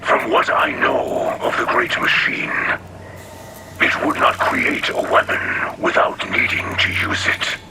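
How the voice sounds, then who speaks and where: Slow, whispery alien splicer elder played by a man. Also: heavy background noise, natural voice. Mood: solemn, resolute. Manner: halting, clipped, formal phrases. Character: solemn alien insectoid splicer leader, male. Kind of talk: video game cutscene acting. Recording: music behind the voice. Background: music.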